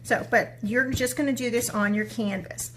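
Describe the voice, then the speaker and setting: A woman's voice: fairly high pitch, normal speed, a little hiss or hum. A woman who teaches children's art, speaking expressively and encouragingly, as for a read-aloud or step-by-step lesson. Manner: encouraging, expressive, asks checking questions.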